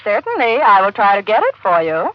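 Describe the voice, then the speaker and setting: Polite operator lady, courteous and routine, lively lilt, dull old radio sound. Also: medium pitch, a little fast. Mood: businesslike. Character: polite female long-distance telephone operator. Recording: dull lo-fi 1940s radio broadcast.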